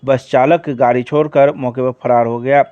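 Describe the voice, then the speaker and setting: A man's voice: medium pitch, fairly even intonation, normal speed, a little mellow, clear, resonant tone, no room sound, lo-fi sound. A man reading scripted local news reports as a news reader.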